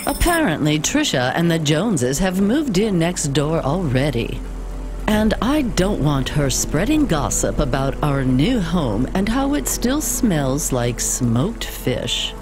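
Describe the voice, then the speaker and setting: A pompous, chatty society lady from a video game, fussy and condescending. Her fairly low voice rises and falls dramatically, with clear music behind it. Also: sing-song, slow pace, exaggerated voice. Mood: haughty. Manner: affected, pompous.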